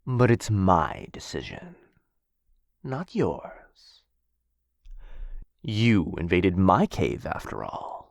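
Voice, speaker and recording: A man's voice: low-pitched, very animated, drawn-out words, creaky, long pauses, no room sound, studio quality, heavily acted. A man playing a smug, menacing, possessive dragon captor in a fantasy ASMR roleplay, drawling condescendingly and theatrically. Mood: self-satisfied, coaxing.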